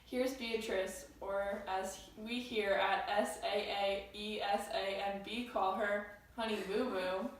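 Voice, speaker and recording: An earnest female co-anchor, played by a teenage girl reading scripted news. Her medium-pitched voice comes from off mic in a heavily echoing room. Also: steady tone, slow, hardly any pauses, close to an everyday voice. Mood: formal. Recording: very echoey room, distant mic, faint noise.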